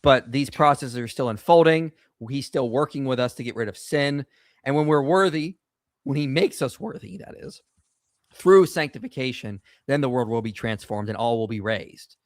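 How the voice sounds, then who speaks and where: A confident man hosts a call-in discussion and lays out his arguments with emphasis and a lecturing tone. His voice sits at a medium pitch. The recording is dry and close, with no room sound. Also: normal speed, dry recording. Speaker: host of a call-in show.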